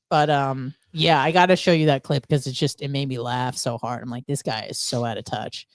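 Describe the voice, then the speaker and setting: A woman's voice: very deep voice, fairly even intonation, normal speed. An American woman chatting casually as a podcast co-host, acting out quotes as she tells things. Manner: conversational, peppered with "like" and acted-out quotes. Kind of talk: casual chat.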